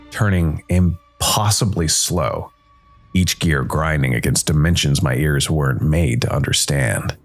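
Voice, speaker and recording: A man narrating a scripted first-person noir story as a world-weary supernatural detective, brooding and dramatic, with deliberate, weighted pauses. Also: very deep voice, heavily acted. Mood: ominous, grave. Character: world-weary supernatural noir detective, male jazz musician working for Death.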